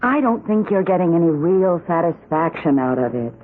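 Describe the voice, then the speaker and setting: A woman's voice: on the low side, big pitch swings, moderate pace. An actress playing a fretful, dissatisfied suburban wife in a radio drama, hesitating, then pressing her point. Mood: upset. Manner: hesitant starts, then insistent. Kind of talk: 1970s radio drama acting. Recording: tinny vintage radio broadcast.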